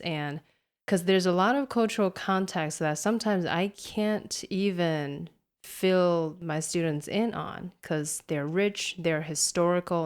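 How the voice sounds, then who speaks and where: A woman from Taiwan, a native Mandarin speaker, co-hosts a casual podcast in English. Her voice sits a little low, and her Taiwanese accent carries an American tinge. She talks in an earnest, warm way as an English teacher. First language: Mandarin Chinese.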